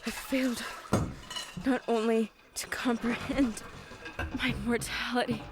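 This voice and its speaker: An actress playing a dying kindergarten teacher, speaking slowly and haltingly. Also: female voice, on the high side, flat delivery, lightly acted. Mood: reflective. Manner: slow, halting, reflective. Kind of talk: audio drama acting.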